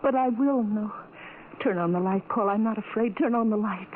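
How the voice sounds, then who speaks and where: A woman playing a tender, devoted blind woman pleading with her beloved in halting, earnest phrases, in the style of old radio melodrama. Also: mid-range voice, natural voice. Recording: muffled, noisy vintage radio recording.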